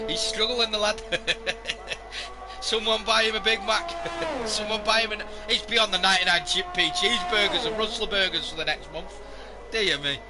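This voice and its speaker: A man commentating a live sim racing stream, hoarse and losing his voice, joking wearily with the chat. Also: sits high. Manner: weary, self-mocking banter with the chat.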